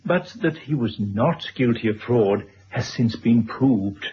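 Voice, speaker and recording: An actor playing a courtly, kindly older gentleman in a radio drama, speaking formally and hesitantly. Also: mid-range voice, expressive, slow pace. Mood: sombre, regretful. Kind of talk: 1970s radio drama acting. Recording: dull old radio recording, clear noise.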